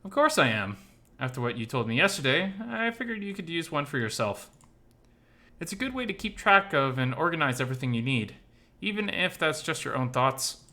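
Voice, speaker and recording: A man's voice: on the high side, sing-song, fairly quick, sharp tone, pitched above the performer's own voice, heavily acted. A man voicing an older male survivor in a visual novel, an easygoing mentor who is casual and fond. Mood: warm, casual.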